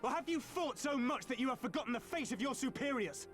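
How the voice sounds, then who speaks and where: A woman's voice: sits high, expressive, quick pace, faint music behind, voice pushed up. A woman voicing boastful, hot-tempered warrior men of the steppe in a fantasy game, with grandiose, archaic declamation. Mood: haughty challenge. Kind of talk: theatrical fantasy game character reading.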